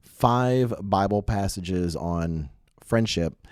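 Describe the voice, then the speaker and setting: A man's voice: sits low, extremely slow, a little mellow, no echo, clean studio sound. A man co-hosting a casual religious youth podcast in a chatty, self-deprecating way, full of asides, getting over a mild cold. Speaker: podcast co-host.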